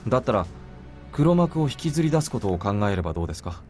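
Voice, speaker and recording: A visual novel soldier with a lowish voice who talks extremely fast. He is restrained and businesslike, blunt yet deferential, with music behind him. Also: sing-song, a little mellow. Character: matter-of-fact soldier bodyguard, deferential but blunt. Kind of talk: Japanese visual novel voice acting. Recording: game music under the voice.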